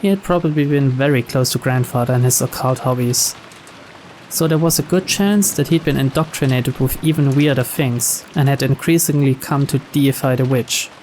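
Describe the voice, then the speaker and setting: A man's voice: mid-range voice, moderate pace, darkish tone, slight background noise, poor audio. A man reading scripted first-person narration from a mystery visual novel. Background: music and rain.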